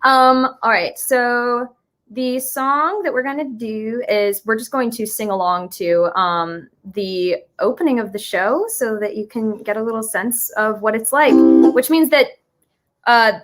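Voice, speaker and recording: A woman's voice: fairly high pitch, unhurried, fairly bright tone, faint music behind. A woman in casual chat as a guest, speaking in a playful, jokey, informal way. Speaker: guest promoting a musical album.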